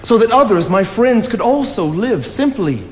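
An actor on stage playing an earnest, brooding 19th-century writer, speaking in a deliberate, declamatory way. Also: male voice, high voice, lively intonation. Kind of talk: theatrical stage acting. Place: theatre stage, indoors. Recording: live theatre, reverberant, faint noise.

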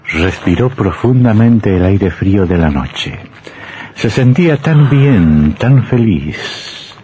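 A gleefully macabre male narrator tells horror on a noisy vintage radio drama. He speaks very slowly, in a lowish voice, stretching words and pausing theatrically with a mocking air. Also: dark tone.

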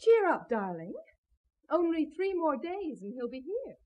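A woman playing an exasperated, brisk wife and mother in a 1970s radio drama. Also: high voice, big pitch swings, darkish tone, poor audio. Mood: lighter, chatty.

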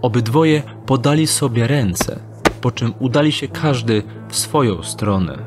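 A man's voice: medium pitch, measured pace. Male audio drama narrator over music. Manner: steady descriptive storytelling.

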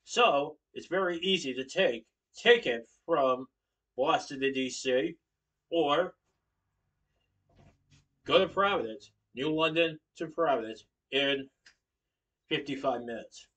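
Young man, informative speech, slightly high voice, distant webcam in a living room. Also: slow, pauses often, a bit bright. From Connecticut, USA. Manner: standing, gesturing while speaking, occasional self-corrections. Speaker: student giving a speech. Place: living room at home, indoors. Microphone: webcam across the room.

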